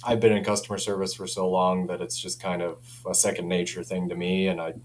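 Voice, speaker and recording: A man with a fairly low voice talks in a chatty, informal way, like an enthusiastic company rep. His delivery is casual and keen. There is noticeable noise and hum behind him. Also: level tone, a little fast, a little mellow. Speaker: audio company representative, guest. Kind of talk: casual product chat.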